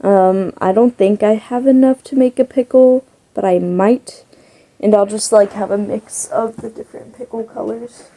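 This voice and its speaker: A woman's voice: medium pitch, fairly even intonation, moderate pace, mellow. A young woman chatting casually as a crochet vlogger, rambling with lots of fillers. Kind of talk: casual chat.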